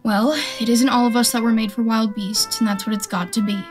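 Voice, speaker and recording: A woman's voice: a little high, flat delivery, a little fast, darkish tone, faint music behind, close to an everyday voice. A woman playing a defiant, survival-minded militia stranger in a radio play, talking in a blunt, folksy, speechifying way. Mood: fierce, scornful. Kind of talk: radio drama acting.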